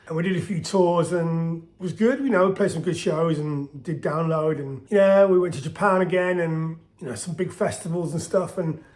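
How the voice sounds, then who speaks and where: A middle-aged man with a soft voice, casually recalling his band's history in a chatty, rambling way full of restarts and fillers. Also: a little high, moderate pace, few pauses. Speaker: band singer telling its history. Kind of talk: casual spoken storytelling to camera.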